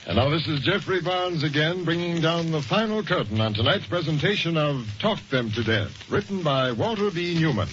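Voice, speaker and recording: A man's voice: a little high, expressive, moderate pace, hardly any pauses, music in the background. A man hosting a mystery program, giving a scripted sign-off in 1940s radio style. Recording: dull, hissy vintage radio recording.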